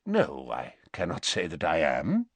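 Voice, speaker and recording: A man doing a calm old headmaster wizard. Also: extremely low pitch, unhurried, breathy edge, no room sound, pitched below the performer's own voice, full character voice. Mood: courteous. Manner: calm, quiet, courteous.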